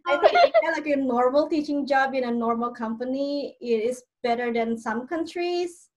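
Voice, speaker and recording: A high-pitched woman speaks English in a relaxed chat. She talks about work as a teacher, keeps laughing between phrases and leans on filler words as she goes. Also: normal speed, very noisy. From Indonesia. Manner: chatty, laughs often, many fillers. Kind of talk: casual chat.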